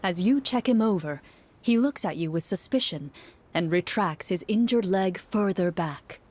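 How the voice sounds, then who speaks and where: A woman's voice: on the low side, animated, normal speed, darkish tone. A woman giving scripted second-person storytelling narration for a video game.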